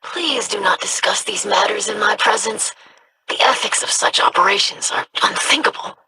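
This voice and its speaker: A woman's voice: medium pitch, moderate pace, noisy background, natural voice. Woman voicing an earnest, formal young alien scribe. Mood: earnest, disapproving. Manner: formal, precise, idealistic. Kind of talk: video game character dialogue.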